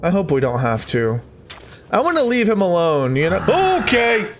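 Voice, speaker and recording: A man hosting a casual let's-play, reacting out loud to the game and chatting to his viewers. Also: sits high, wildly swooping pitch, normal speed, noisy background. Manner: reactive, interjecting, talking to viewers. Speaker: gaming YouTuber host. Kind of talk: casual let's-play commentary, reading game text aloud.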